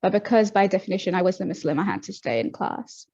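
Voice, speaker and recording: A woman's voice: mid-range voice, big pitch swings, quick pace, a little mellow, slightly rough. Reflective young woman, muffled audio. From Tanzania. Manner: reflective, many ums and restarts. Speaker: podcast guest. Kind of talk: casual interview talk.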